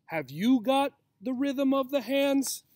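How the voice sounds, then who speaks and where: Slow-talking man reading to young children. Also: very high-pitched, animated, a little mellow, dry recording. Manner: simple, encouraging talk addressed to young children. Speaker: teacher reading a picture book aloud. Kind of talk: children's read-aloud. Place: outdoors in a grassy yard. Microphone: camera or laptop mic at about arm's length.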